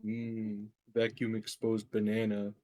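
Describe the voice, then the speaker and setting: A man's voice: fairly low pitch, completely flat delivery, slow, mellow. A man playing a tabletop roleplaying game, trading casual jokes with the group. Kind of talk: casual joking banter.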